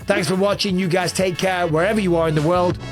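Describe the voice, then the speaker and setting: A middle-aged man with an English accent, hosting a fast, shouty commentary monologue, his voice a little raspy. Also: sits high, steady tone, loud music behind the voice. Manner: talks very fast and shouts a lot. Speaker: host.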